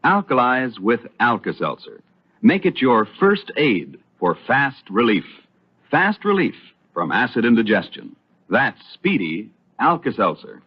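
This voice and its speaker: A man's voice: medium pitch, wildly swooping pitch, a little slow, husky. An American man announcing and reading ads on mid-century radio, with a polished, emphatic sales delivery. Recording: dull, lo-fi vintage radio recording.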